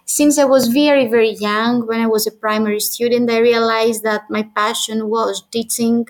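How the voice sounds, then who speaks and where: A woman's voice: on the high side, fairly even intonation, normal speed, rough recording. A woman from Greece and a native Greek speaker, a teacher answering as a guest on a panel, hesitant and repeating words.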